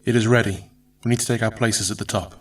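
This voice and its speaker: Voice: medium pitch, steady tone, quick pace, a little hiss or hum, close to an everyday voice. An actor playing a bitter, vengeful resistance fighter in an audio drama, speaking in a terse, hard way.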